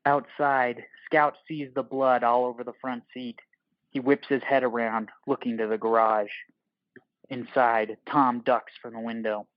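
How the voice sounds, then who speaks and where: A man's voice: mid-range voice, flat delivery, measured pace, no room sound, highs cut off. Dry, clipped male narrator. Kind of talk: scripted narration of screenplay directions.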